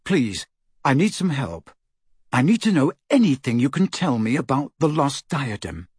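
A man's voice: mid-range voice, big pitch swings, moderate pace, darkish tone, breathy edge, dry recording, studio quality. A man voicing a determined, hot-headed teenage boy in an audiobook, with an insistent, fierce manner. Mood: eager questioning.

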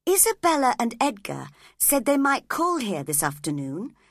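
A woman voicing a volatile young woman who is imperious and quick to anger. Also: fairly high pitch, sing-song, dry recording, clean studio sound, pitched above the performer's own voice. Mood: coaxing.

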